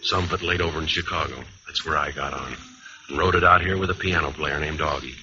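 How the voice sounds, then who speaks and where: Cynical radio noir cornetist, low-pitched, hard sharp tone, clipped, deadpan, hard-boiled. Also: male voice, fast, exaggerated voice. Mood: deadpan narration. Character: cynical, world-weary 1920s jazz cornetist narrator, tough man. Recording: dull, lightly hissy old radio recording.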